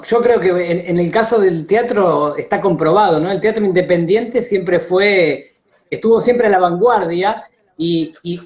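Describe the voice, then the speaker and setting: Dull, lo-fi stream audio carries a high-pitched Argentine man. He discusses things casually but haltingly, repeating little words while he searches for the next phrase. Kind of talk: casual live online discussion.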